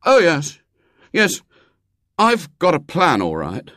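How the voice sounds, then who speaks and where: A man's voice: on the high side, huge pitch swings, takes it slowly, fairly bright tone, no room sound, studio quality, voice pushed up, heavily acted. A man voicing an awkward schoolboy in a children's storybook, eager but out of his depth, hesitant and stammering as he blusters. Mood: uneasy bluster.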